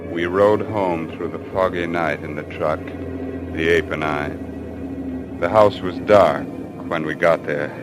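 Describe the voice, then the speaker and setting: An actor playing a tense, guilt-haunted big game hunter in an old-time radio thriller, hesitant and defensive in a dramatic manner. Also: male voice, a bass voice. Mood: somber narrating.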